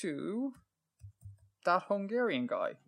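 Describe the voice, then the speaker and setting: A man's voice: high-pitched, sing-song, slow pace, vocal fry, a bit bright. A man co-hosting a podcast in casual chat, talkative and self-deprecating, with plenty of ums. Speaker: podcast co-host.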